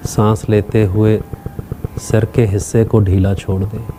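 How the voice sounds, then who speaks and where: Guy teaching meditation, really slow n calm, noisy background like traffic hum. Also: lowish voice. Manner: calm, short phrases with long pauses. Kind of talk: guided meditation instruction.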